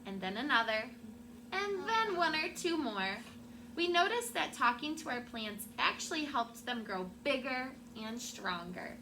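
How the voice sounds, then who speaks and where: Higher-voiced woman teacher reading aloud, noisy recording. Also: unhurried. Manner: expressive storytelling with asides and questions to children. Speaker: elementary school teacher. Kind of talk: read-aloud of a picture book to a class. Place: classroom with children. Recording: clearly noisy classroom recording.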